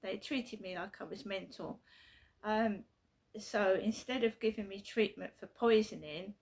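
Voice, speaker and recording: Gravelly middle-aged woman. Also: medium pitch, unhurried. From South London, England. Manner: repetitive, emphatic, earnest storytelling. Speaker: vlogger. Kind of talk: casual personal vlog. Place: her flat at night.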